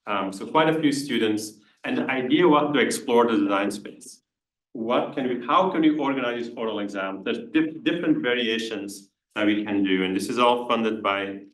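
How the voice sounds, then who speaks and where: A man with a Belgian accent presents his study at mid pitch, often stopping to restart his sentences. He sits back from the microphone, so his voice reaches it from across the room. The room itself adds a clear echo. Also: fairly bright tone. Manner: hesitant, many restarts and "kind of" fillers. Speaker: engineering professor presenting research. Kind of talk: conference talk with audience questions. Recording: echoey room, voice from far off the mic.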